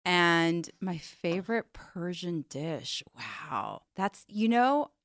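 A woman with a Southern California Valley accent chats breezily as a comedian guest on a podcast. Her voice sits fairly low and is recorded close on a dry mic. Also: big pitch swings, slow pace, a bit bright, no room sound. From born in Iran, raised in the Valley. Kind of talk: casual chat.

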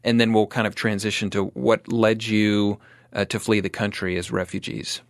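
A man hosting an interview podcast, speaking in an earnest, measured way. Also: fairly low pitch, little pitch movement, creaky, no room sound, clean studio sound. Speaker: host.

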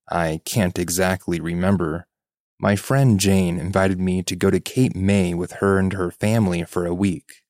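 Low-voiced man narrating a story. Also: darkish tone, no room sound, clean studio sound. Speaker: podcast narrator. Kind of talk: reading a first-person story aloud.

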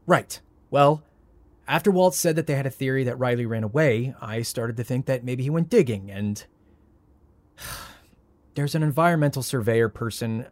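A man playing a frazzled, sarcastic young heir in an audio drama, with a wry, flustered, halting delivery. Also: medium pitch, animated, natural voice. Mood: uneasy. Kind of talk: audio drama acting, naturalistic.

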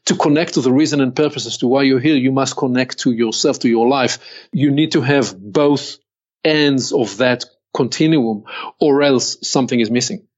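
An older man with a Hebrew accent, a consultant guesting on a podcast, speaking in a measured, deliberate way and slowing to stress key points. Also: medium pitch, a little mellow, lo-fi sound. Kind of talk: reflective interview talk.

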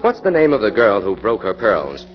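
An actor playing a shrewd, self-assured detective in a confident, matter-of-fact way, in a 1940s radio drama. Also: male voice, lowish voice, huge pitch swings, normal speed. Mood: stern. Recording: dull old radio broadcast with music.

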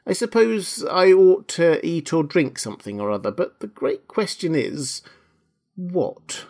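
A little girl from a storybook, as played by a man reading aloud. The voice is lifted high and moves up and down freely. The manner is polite, earnest and a bit hesitant. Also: lively intonation, a little slow, darkish tone. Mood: wistful, pondering.

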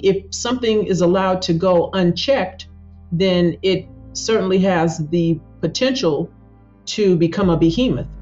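A woman with a fairly low voice gives explanatory interview commentary. She is measured and emphatic, laying out each point in turn. The recording is slightly dull. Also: darkish tone.

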